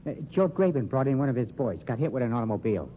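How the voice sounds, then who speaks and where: An actor in an old-time radio crime drama playing a slow-witted, nervous night man with slangy, stammering speech. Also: mid-range voice, sing-song, lightly acted. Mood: sleepy, casual. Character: dim, nervous, sleepy male night-shift funeral home flunky. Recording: tinny, noisy old radio recording.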